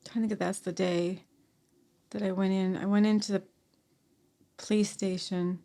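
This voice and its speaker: Soft, halting woman with frequent sighs. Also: medium pitch, little pitch movement, creaky. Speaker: podcast guest. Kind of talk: personal storytelling in conversation.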